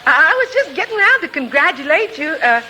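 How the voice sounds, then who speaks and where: A woman's voice: high voice, very animated, fast, sharp tone, exaggerated voice. A woman playing a deferential, good-natured household cook in a 1940s radio comedy, folksy and hesitant. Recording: faintly noisy old 1940s radio recording.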